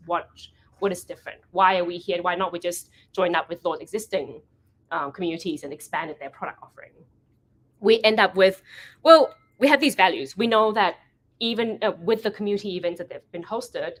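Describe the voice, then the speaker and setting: Animated woman telling a story. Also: on the low side. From Thailand. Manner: animated storytelling, acting out quoted conversations; often corrects herself mid-sentence. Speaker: event founder giving a talk. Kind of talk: meetup presentation.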